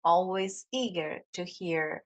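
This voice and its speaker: A woman interview host with a Colombian Spanish accent, speaking English with frequent hesitations. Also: fairly low pitch. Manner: warm, halting with many "um"s. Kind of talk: interview hosting.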